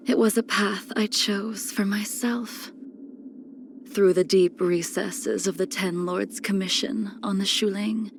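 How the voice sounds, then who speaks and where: Slow, creaky-voiced cold swordswoman. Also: mid-range voice, a little hiss or hum. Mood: solemn. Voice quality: breathy, near-whispered phrase endings. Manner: measured, solemn, archaic formal phrasing. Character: cold, ageless, melancholy swordswoman, a fallen master. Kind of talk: scripted video game character lines.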